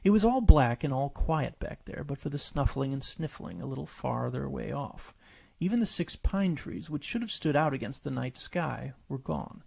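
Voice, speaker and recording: A man's voice: mid-range voice, expressive, normal speed, vocal fry, dark tone, breathy edge. A man narrating a story as a scripted audiobook reading. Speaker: author reading his own story.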